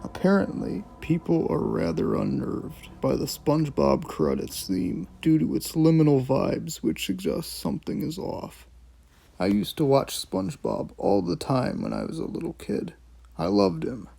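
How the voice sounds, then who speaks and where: Animated, gravelly man doing video commentary. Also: mid-range voice, unhurried. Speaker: video host and reviewer. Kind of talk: scripted commentary with casual swearing.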